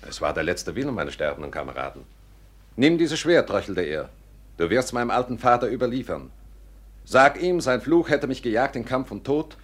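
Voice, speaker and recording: An actor playing a gruff conspirator posing as a humble soldier in a classic radio drama, speaking in a grave, declamatory way. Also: male voice, mid-range voice, very animated, moderate pace. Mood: solemn, sorrowful.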